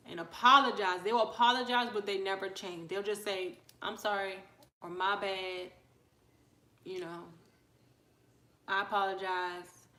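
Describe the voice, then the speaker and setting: A woman's voice: mid-range voice, roomy sound. A woman hosting a casual livestream, chatting with viewers, reading their comments and welcoming newcomers. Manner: chatty, asks viewers questions, shouts out newcomers. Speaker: livestream host.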